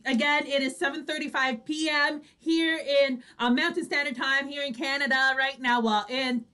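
A woman's voice: sits high, steady tone, moderate pace, bright, forceful tone. A woman co-hosting a casual radio show, bubbly and giggly with frequent exclamations. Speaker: online radio show co-host. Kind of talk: casual bantering live show chat.

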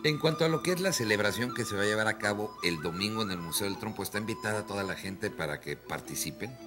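A man's voice: fairly low pitch, expressive, fast talker, music in the background. A man in his forties hosting a TV studio interview, asking questions in a conversational way. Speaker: TV host. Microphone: handheld mic.

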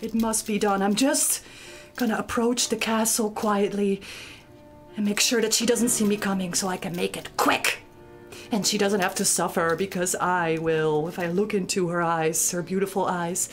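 Lady doing a gloomy moany grim reaper puppet, lowered voice, melodramatic, music behind. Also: fairly even intonation, normal speed, lightly acted. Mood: mournful, reluctant. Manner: moaning, melodramatic. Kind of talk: theatrical live puppet-show comedy.

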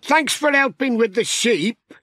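Man doing a hearty, high-pitched sheep farmer. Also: expressive, measured pace, bright, forceful tone, no room sound, studio quality, full character voice. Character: hearty, practical male hill sheep farmer. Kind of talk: children's audiobook character voice.

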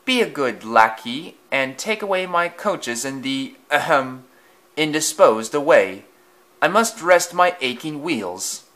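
A man voicing a big, vain, boastful male engine with a grandiose, drawn-out, theatrical manner. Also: fairly high pitch, animated, pushed and bright, voice pushed up, exaggerated voice. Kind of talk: storybook voice acting.

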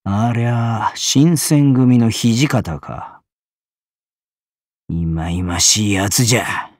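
A man voicing a surly, boastful swordsman assassin in a game, with rough, sneering Tosa-dialect speech. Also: lowish voice, drawn-out words, dry recording, clean studio sound. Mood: scornful. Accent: Tosa dialect of Japanese. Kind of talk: game character voice lines.

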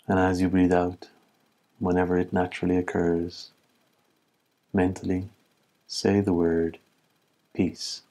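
A man leading a guided meditation as an instructor, speaking in calm, measured phrases with long pauses. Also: low-pitched, low-quality recording.

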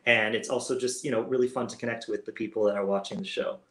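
A man's voice: on the low side, fairly even intonation, fast talker, fairly bright tone. Upbeat young man on a video call. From United States. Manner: upbeat, expansive, gestures while talking. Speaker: guest performer. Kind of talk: casual interview answers. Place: home room with world map on wall. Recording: roomy video call audio.

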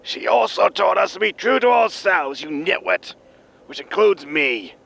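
An actor playing a bitter, ailing future spaceship captain, with a wheezy smoker's voice and a scornful, lecturing manner, in a science fiction comedy audio drama. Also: male voice, sits high, animated, unhurried, vocal fry, fairly bright tone, heavily acted. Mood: angry, threatening. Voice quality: wheezy smoker's lungs, coughing.

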